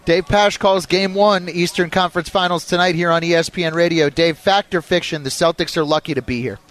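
A man's voice: fairly high pitch, fairly even intonation, fairly quick, fairly bright tone. A man hosting a live sports talk radio show, introducing segments and putting questions to a guest.

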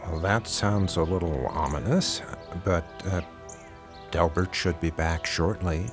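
A man's voice: deep voice, a little slow, music in the background. A man playing a courteous, guarded church pastor in an audio drama.

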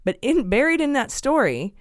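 A woman co-hosting a casual political podcast, sarcastic and quick to laugh, often restarting her sentences. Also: high voice, sing-song, no room sound, studio quality. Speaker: podcast co-host.

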